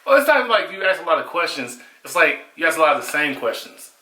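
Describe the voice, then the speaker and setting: A man's voice: on the high side, very animated, fast talker, sharp tone. Laid-back, teasing young man. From United States. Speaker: husband and guest. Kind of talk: casual chat. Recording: echoey room.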